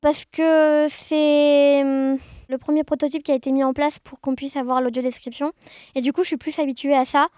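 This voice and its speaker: A woman's voice: high voice, flat delivery, moderate pace, warm tone, dry recording, studio quality. A woman chatting informally and animatedly as an interview guest. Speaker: interview guest, amateur audio fiction maker. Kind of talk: casual conversational interview.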